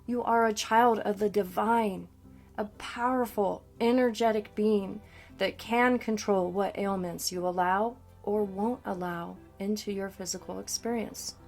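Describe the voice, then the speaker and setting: A woman coach narrating a scripted guided meditation in a soothing, deliberate manner. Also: mid-range voice, fairly even intonation, a little mellow. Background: background music.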